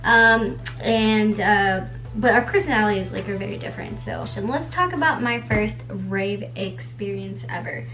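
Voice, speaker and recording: A woman's voice: medium pitch, normal speed, fairly bright tone, soft background music, low-quality recording. A young woman in her twenties, a native Spanish speaker, chatting casually at home while doing her makeup, rambling with lots of "like" and the odd swear word. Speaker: beauty vlogger.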